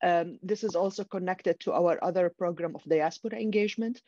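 A woman's voice: on the low side, fairly quick, warm tone, no room sound. A woman in her thirties from Syria, a panelist introducing herself on a video call, speaking unscripted with many hesitations and fillers. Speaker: panelist, researcher. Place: home room with plants, indoors. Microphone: laptop webcam video call. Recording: dull lo-fi video call audio.

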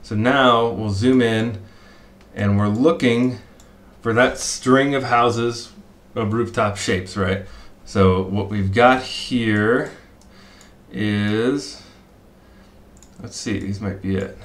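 A middle-aged man explains his search step by step, thinking aloud and pausing on fillers. His voice sits fairly low and rings in a roomy, echoey small room. He sounds like he is talking into a desk mic at a computer. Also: fairly even intonation. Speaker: fishing spot analyst. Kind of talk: screen-share walkthrough.

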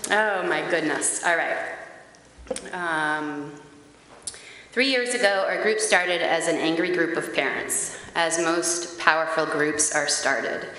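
A woman in her forties with a loud, carrying voice, reading a prepared statement at a podium microphone in a town meeting chamber. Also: low-pitched, slow pace. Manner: earnest, steady reading with occasional hesitant fillers. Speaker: parent group facilitator at a public meeting. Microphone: gooseneck podium microphone. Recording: echoey hall, faint background hiss.

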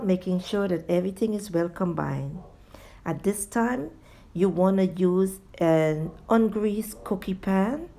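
Mellow-voiced woman narrating a recipe. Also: on the low side. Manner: addresses viewers as guys. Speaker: home cooking show host. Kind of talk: cooking tutorial voice-over, step by step.

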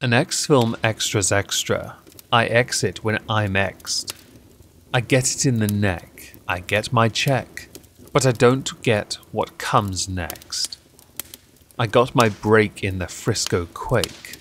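A man with a fairly deep voice recites poetry at a very slow pace. His phrasing is deliberate and theatrical, with measured pauses. Some noise sits behind the recording. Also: fairly bright tone. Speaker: poetry reader and channel host. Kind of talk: measured dramatic poetry reading. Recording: clear background noise.

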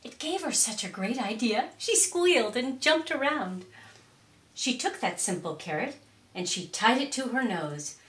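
A middle-aged woman reading a children's storybook expressively, with deliberate, theatrical emphasis in a roomy space. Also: a little high, animated, a bit bright. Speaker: storytime reader. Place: indoors, curtained room or small stage. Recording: roomy echo on voice.

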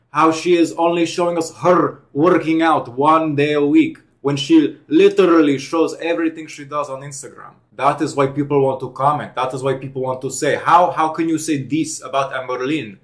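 A young man with a slightly higher voice commenting on clips with dry, deadpan sarcasm. He talks casually from a home room, close on a headset mic. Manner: sarcastic, deadpan mock-formal delivery. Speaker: host.

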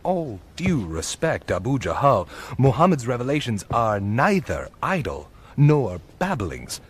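Earnest Arabian counsellor, animated film, husky, wildly swooping pitch, emphatic. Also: male voice, measured pace.

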